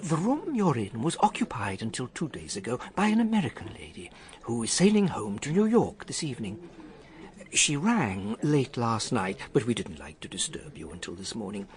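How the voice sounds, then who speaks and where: An actor playing a discreet, politely insistent hotel manager in a radio drama, formal and apologetic with small hesitations. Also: male voice, medium pitch, sing-song, moderate pace, close to an everyday voice.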